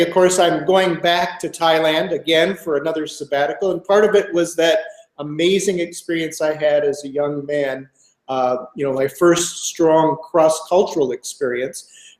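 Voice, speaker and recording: Older guy from minnesota, kinda higher voice, lots of ums and restarts, echoey room. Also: normal speed. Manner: thoughtful, many fillers and restarts. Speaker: guest author, professor. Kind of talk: relaxed interview answer.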